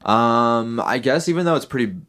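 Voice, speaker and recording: A man's voice: medium pitch, moderate pace, fairly bright tone. A man co-hosting a casual podcast, telling stories with many ums and laughing as he talks. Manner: storytelling with many ums, laughs while talking. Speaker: podcast co-host.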